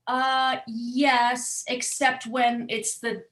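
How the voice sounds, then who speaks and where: A woman's voice: a little high, little pitch movement, drawn-out words, fairly bright tone. A woman playing as a contestant on a quiz show, chatting in a casual, playful way.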